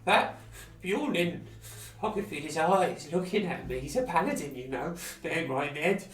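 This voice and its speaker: A man's voice: a little high, expressive, normal speed, close to an everyday voice. Actor as a paranoid, fretful townsman, roomy sound. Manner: rambling, folksy, fretful. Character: paranoid, agitated townsman hiding upstairs from a paladin. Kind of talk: video game character acting. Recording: roomy, distant, faint music.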